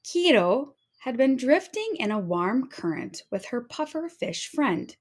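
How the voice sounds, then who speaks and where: A woman narrating a children's storybook aloud in an expressive storytelling manner. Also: high voice, measured pace. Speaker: storybook reader.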